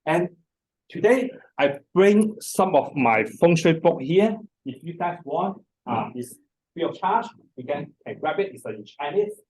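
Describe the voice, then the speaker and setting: Older-ish hong kong guy teaching a seminar, halting and repeating himself, mic kinda far away. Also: a little high, animated, darkish tone. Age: middle-aged or older. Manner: halting, repeats words, hesitant phrasing. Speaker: feng shui master, seminar speaker. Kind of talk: informal seminar presentation. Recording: distant microphone in a room.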